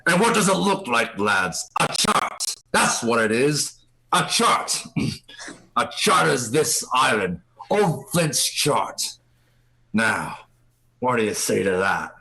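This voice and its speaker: Menacing pirate captain, man performing, comedic read; slow, taunting orders with big melodic swings. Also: mid-range voice, sing-song, gravelly, bright, forceful tone, full character voice, poor audio. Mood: sardonic, triumphant. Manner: taunting, theatrical, drawling commands. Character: swaggering, menacing old pirate ringleader, man. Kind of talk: live comedic table read.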